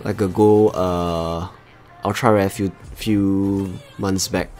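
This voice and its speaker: Man, Singaporean accent, low-pitched voice, casual game livestream host, chatty, talking to viewers, music behind. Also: fairly even intonation, slow, darkish tone. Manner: chatty, talks to viewers as he plays. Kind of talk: casual live game-stream chat.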